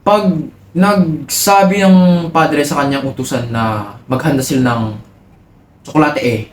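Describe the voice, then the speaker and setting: A man's voice: medium pitch, reverberant, a little hiss or hum, lightly acted. A man playing a pompous, abusive Spanish colonial army lieutenant in a boastful, demanding theatrical monologue. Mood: fawning, conspiratorial.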